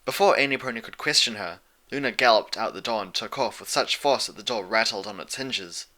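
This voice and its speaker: A man's voice: fairly low pitch, a little fast, pushed and bright. A man reading scripted story narration as an audiobook narrator.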